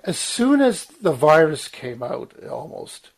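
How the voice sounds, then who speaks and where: A man in his sixties, croaky from medication, giving an earnest, emphatic talk with frequent ums, restarts and throat-clearing. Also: fairly high pitch, sing-song, slow pace. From Lower Mainland, Canada. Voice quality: husky, clears throat often. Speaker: guest speaker, former journalist. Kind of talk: long spoken commentary, guest talk.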